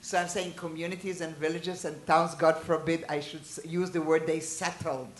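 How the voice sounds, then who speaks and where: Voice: mid-range voice, normal speed. Chatty Israeli-born teacher in an echoey room. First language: Hebrew and Hungarian. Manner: chatty, asks the listeners questions, animated asides. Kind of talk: informal lecture to a small group. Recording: roomy echo.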